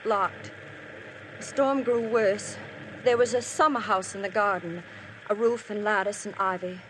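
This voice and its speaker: A woman's voice: medium pitch, steady tone, measured pace, close to an everyday voice, low-quality recording. A woman playing an anxious young wife confessing. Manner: earnest, quietly desperate. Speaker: narrator of her story to police. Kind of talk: 1950s radio drama acting. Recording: old radio broadcast, faint hiss.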